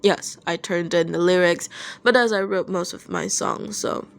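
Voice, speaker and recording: A woman's voice: fairly low pitch, normal speed, creaky. A woman playing a short, hot-tempered young male rock singer with a curt, grumbling, dry manner in an audio story. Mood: defensive.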